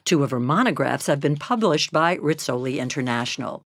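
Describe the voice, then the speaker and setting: A woman's voice: low voice, expressive, no room sound, studio quality. A woman hosting an interview, introducing guests and asking questions with playful jokes. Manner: playful, quick to laugh and joke. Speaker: interview host. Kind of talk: hosted interview.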